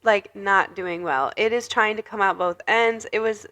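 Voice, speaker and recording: A young woman chatting on her podcast, her nose a bit stuffy from a cold. She rambles through a story with a steady stream of "like," in a clear, bright tone at an ordinary middle pitch. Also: moderate pace, slight background noise. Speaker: podcast co-host. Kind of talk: casual chat.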